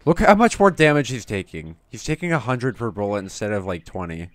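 A young man narrating his game story, then reacting excitedly and laughing in voice chat with his teammate. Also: lowish voice, brisk, no room sound. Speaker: gaming YouTuber.

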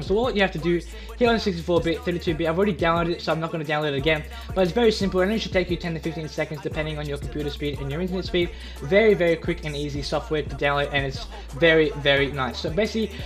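A young man hosting a casual tutorial in a chatty, eager, repetitive way. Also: fairly high pitch. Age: young adult. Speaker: YouTube tutorial host.

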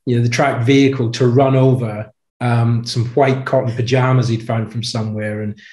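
Male guest, 50s, mid pitch, chatty anecdotal storyteller, webcam audio with room echo. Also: normal speed. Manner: chatty, animated anecdotes with frequent "you know". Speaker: podcast guest, former commando. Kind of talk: casual storytelling chat. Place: indoor room with a brick wall. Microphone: video call webcam. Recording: roomy echo.

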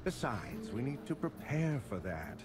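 A man voicing a calm, pragmatic gang patriarch who speaks with steady authority. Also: medium pitch, measured pace, noisy background. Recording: game voice with music behind.

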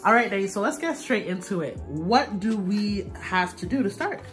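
A woman's voice: mid-range voice, lively intonation, moderate pace. A woman hosting a home cooking video, chatty, upbeat and teasing while she films in the kitchen. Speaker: host. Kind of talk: casual cooking show chat. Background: background music.

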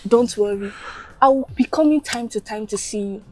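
A woman's voice: fairly high pitch, moderate pace, faint music behind, natural voice. A young woman with a Nigerian accent playing a caring, pleading daughter in a film drama. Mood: soothing. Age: young adult.

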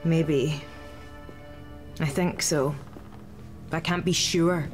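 An actress playing a weary female resistance fighter in a video game, speaking in an urgent, measured way. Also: low voice, steady tone. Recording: game audio under music.

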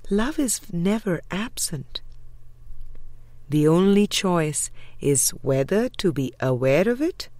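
A woman reading a spiritual audiobook with measured, deliberate phrasing. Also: fairly low pitch, lively intonation, dark tone, no echo. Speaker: audiobook reader.

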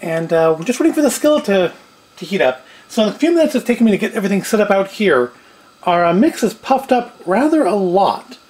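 A man's voice: high voice, sing-song, a little fast, darkish tone, husky. A man hosting a homemade food video, rambling casually and wryly as he thinks aloud while cooking. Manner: wry, self-questioning, thinking aloud. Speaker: host of a food review video. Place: indoors at home, at a table and later in a kitchen.

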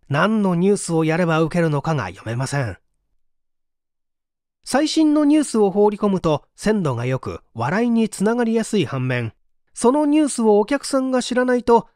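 A man narrating a nonfiction audiobook, reading scripted text in a polite, measured, explanatory way. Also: high-pitched, darkish tone, no echo, clean studio sound.

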